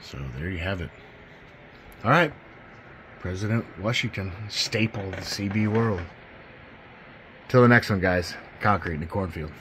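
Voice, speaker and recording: A man's voice: low-pitched, normal speed, pauses often. A man giving a casual hands-on demonstration as a hobbyist host, talking in a folksy, informal, enthusiastic way. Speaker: host of a CB radio demo channel. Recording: clear background noise.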